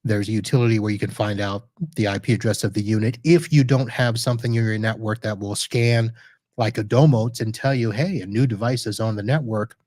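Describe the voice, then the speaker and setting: A man with a lowish voice talks through a live screen-share demo at his computer, chatty and loose, often correcting himself mid-sentence. The sound is dry and close, with no room echo. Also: steady tone, fairly quick, darkish tone. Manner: chatty, self-correcting, thinks aloud while clicking. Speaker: podcast host reviewing network gear.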